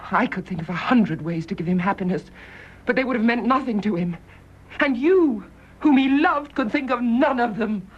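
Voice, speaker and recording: A fiercely righteous British nurse, clipped and intense, full of bitter indignation. The radio drama recording sounds a little dull and muffled. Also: female voice, fairly high pitch, expressive, moderate pace, husky. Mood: passionate, wounded.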